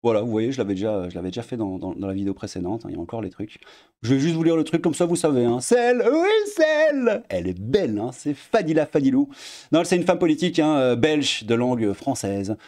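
A man in his thirties with a Belgian French accent, talking in a casual, sarcastic commentary vlog from his home desk and jumping into high mocking tones. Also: fast talker. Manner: sarcastic, animated, swings pitch up for mock emphasis. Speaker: YouTuber commentator.

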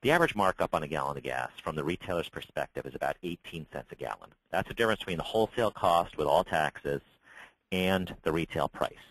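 A man's voice: very deep voice, animated, fast, fairly bright tone, no echo, rough recording. A middle-aged man, a trade association spokesman, answering webinar questions in a conversational way with many restarts. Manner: conversational, frequent restarts and repeated words.

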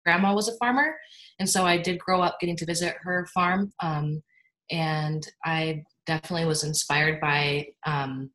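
Young american woman w a low voice talking thru a webcam from her bedroom, laid back, lots of ums. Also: normal speed, rough recording. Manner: relaxed, with fillers and small laughs. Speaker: guest speaker, farmer. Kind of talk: casual presentation on a video call. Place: bedroom at home. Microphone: laptop webcam mic.

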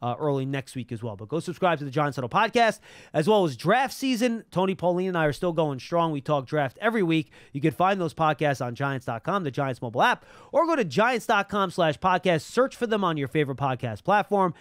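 A man's voice: a little high, big pitch swings, a little fast, fairly bright tone, dry recording. A middle-aged man hosting a conversational sports show on a close studio microphone. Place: small podcast studio. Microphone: close boom-arm studio microphone.

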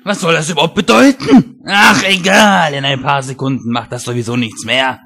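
A man's voice: a little high, moderate pace, creaky, heavily acted. Man acting out a bombastic villainous mad scientist. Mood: scheming. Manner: theatrical, gloating, bursts into shouting. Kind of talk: German fan dub of a video game cutscene.

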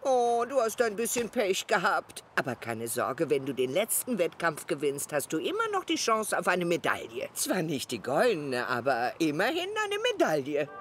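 A woman voicing a caring old grandmother in a cartoon, soothing and encouraging in an expressive way. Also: low voice, moderate pace, few pauses, a little hiss or hum. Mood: consoling. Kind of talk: German cartoon dub acting. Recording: music behind the voice.

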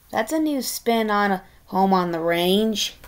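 A woman reading a children's story aloud in an expressive, halting way, with casual chatty asides. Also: medium pitch. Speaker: storybook reader.

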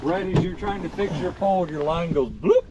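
A man's voice: high-pitched, a little fast. A man chatting casually as he fishes with companions from an open boat on a lake. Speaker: fishing companion. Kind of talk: casual chat while fishing. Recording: loud outdoor boat and wind noise.

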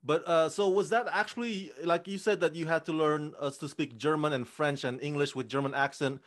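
A man's voice: on the high side, fairly even intonation, a little fast. A man hosting an interview, asking long, upbeat questions into a studio microphone over a video call. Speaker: interview host. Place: room with green backdrop, indoors. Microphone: studio mic on arm, video call.

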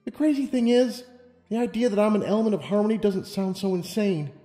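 A man's voice: high voice, brisk, warm tone, roomy sound, long reverb tail, soft background music, pitched above the performer's own voice, lightly acted. A man voicing an anxious, self-doubting young unicorn mare in a storybook reading, earnest and hesitant. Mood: hesitant resolve. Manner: hesitant, stammering protests. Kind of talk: audiobook character reading.

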